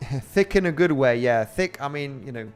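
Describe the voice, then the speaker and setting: A man's voice: mid-range voice, lively intonation, moderate pace, clean tone, soft background music. Chatty Spanish-accented man. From Spain. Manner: rambling and chatty, with frequent fillers and laughs. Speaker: crypto trading host. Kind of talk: casual livestream chat reading viewer comments.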